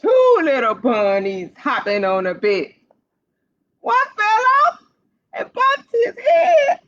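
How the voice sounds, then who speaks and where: A woman hosting storytime for young children, reading aloud in an animated, sing-song way and putting questions straight to the kids. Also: sits high, takes it slowly. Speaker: library storytime host for children. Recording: dull, lo-fi livestream sound.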